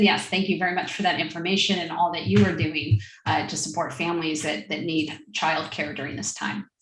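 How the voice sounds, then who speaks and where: A woman's voice: medium pitch, a bit bright, reverberant, low-quality recording. A woman serving as mayor, chairing a public council meeting in an informal way with frequent fillers and restarts.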